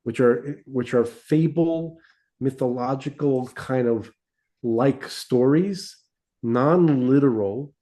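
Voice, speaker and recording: A man's voice: medium pitch, takes it slowly, warm tone. A man co-hosting a casual religious discussion podcast, explaining in a didactic way and often checking in with short tag questions. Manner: didactic, checks in with "right?" and "okay?". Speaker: podcast co-host.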